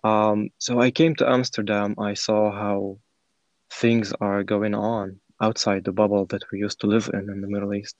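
Podcast guest: man, 20s, lowish voice, reflective and halting, dry lo-fi sound. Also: flat delivery, a little mellow, dry recording. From Syria. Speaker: podcast guest, former startup program participant. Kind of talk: conversational personal storytelling in an interview.

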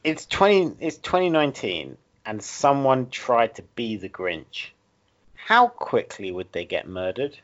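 A man's voice: medium pitch, sing-song, measured pace. A man with an Essex accent hosting a casual podcast chat, rambling and arguing his points. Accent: Essex English. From Essex, England. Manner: rambling, argumentative, self-correcting. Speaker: podcast host. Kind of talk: casual banter. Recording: dull lo-fi sound.

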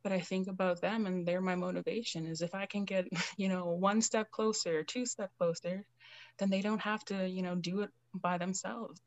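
A woman's voice: mid-range voice, fairly even intonation, moderate pace, no echo. A young woman from Canada, a Cree educator, speaking in an earnest, soothing way and telling personal stories with casual fillers in an interview. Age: young adult. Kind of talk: reflective conversational interview.

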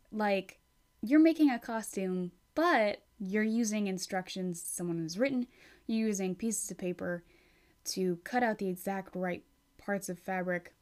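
A woman's voice: mid-range voice, darkish tone. Rambling chatty woman podcaster. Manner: rambling, with many fillers and restarts; frank and chatty, with casual swearing. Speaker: podcast host. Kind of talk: casual solo podcast chat.

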